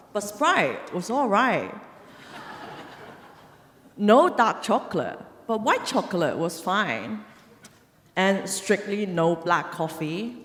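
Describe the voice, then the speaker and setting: A young woman from Hong Kong doing a stand-up set on stage, delivering deadpan punchlines and laughing at her own jokes. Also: mid-range voice. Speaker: stand-up comedian. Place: comedy club stage. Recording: live venue with long reverb. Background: audience laughter.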